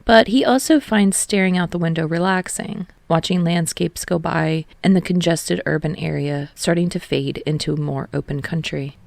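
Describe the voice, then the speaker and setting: A woman's voice: low voice, normal speed, gravelly, few pauses, a little mellow, dry recording. A woman reading a story aloud as an audiobook narrator, in scripted third-person prose.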